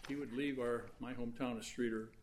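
Man, soft voice, appellate argument, halting with fillers, large courtroom, mid pitch. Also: fairly even intonation, slight background noise, low-quality recording. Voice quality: soft-spoken. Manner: halting, with many fillers and restarts. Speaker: attorney arguing an appeal. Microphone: fixed courtroom recording mic.

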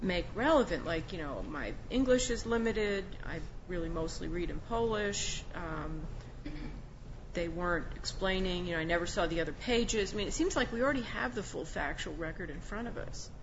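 A woman's voice: on the low side, expressive, normal speed. A woman speaking as an appellate judge, questioning a lawyer in an assertive, probing, argumentative way. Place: courtroom. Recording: muffled courtroom recording with clear background noise.

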